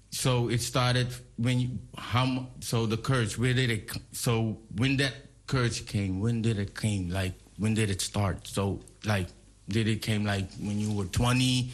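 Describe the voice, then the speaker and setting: A man hosting a casual interview, asking rambling questions that often restart midway. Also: lowish voice. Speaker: interviewer host.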